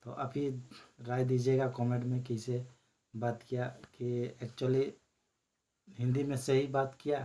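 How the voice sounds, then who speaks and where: A man hosting his own channel, chatting casually to viewers and explaining a recorded call. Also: medium pitch. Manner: relaxed, explains slowly with fillers. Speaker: channel host.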